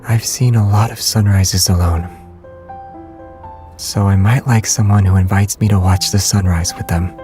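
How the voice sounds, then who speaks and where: Man acting as romantic young lead, low voice, understated, dryly teasing, game dialogue over music. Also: fairly even intonation, normal speed, warm tone, lightly acted. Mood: gentle, wistful.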